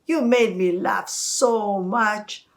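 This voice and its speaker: A huge, proud lion from a children's fable, acted out by a woman storyteller. He booms and taunts with drawn-out words at a slow pace. He sounds boastful and sure of his own strength. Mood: amused.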